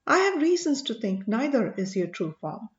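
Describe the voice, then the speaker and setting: A respectful, questioning man voiced by a woman narrator, high in pitch and carefully insistent. It is audiobook dialogue with a wary edge. The sound is dull and echoey. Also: very animated, normal speed. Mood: wary, challenging.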